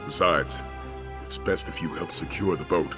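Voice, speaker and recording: An actor playing a gruff, wary warrior companion in a video game, speaking in a measured, dry way. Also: male voice, extremely low pitch. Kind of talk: video game character dialogue. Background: music.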